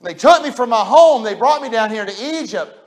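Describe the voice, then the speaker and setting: A preacher playing a wronged, self-pitying Joseph. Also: male voice, extremely high pitch, animated, normal speed. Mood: indignant. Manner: pleading, indignant complaining. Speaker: pastor.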